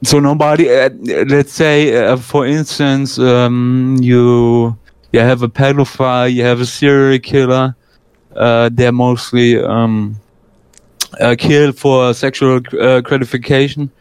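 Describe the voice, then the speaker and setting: A man's voice: medium pitch, level tone, measured pace, a little mellow, clean tone, no room sound. A man chatting casually, hesitant, full of fillers and restarts, swearing freely. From Germany. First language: German. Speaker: guest. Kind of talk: casual conversation.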